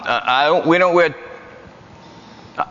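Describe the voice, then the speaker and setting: A man with a New York accent preaching a lively, joking sermon in a church. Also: on the high side, a little slow, a little hiss or hum. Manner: animated, joking, repetitive. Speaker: guest preacher. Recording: muffled church hall recording.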